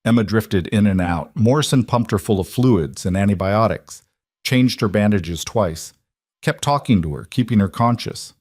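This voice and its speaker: A man narrating a scripted military drama story in a steady, measured way. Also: fairly low pitch, dry recording, studio quality.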